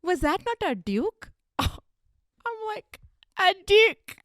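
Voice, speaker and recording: A woman's voice: very high-pitched, big pitch swings, slow pace, dry recording, clean studio sound, pitched above the performer's own voice, heavily acted. A woman voicing a nosy, blunt Glaswegian woman with a broad Scots accent who gossips in an exaggerated stand-up character bit. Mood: gossipy, scandalised. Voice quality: broad dialect, sharp exaggerated delivery.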